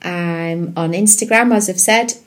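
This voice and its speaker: Woman, podcast guest, chatty, rambling, restarts, lowish voice. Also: measured pace. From England. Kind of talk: casual interview chat.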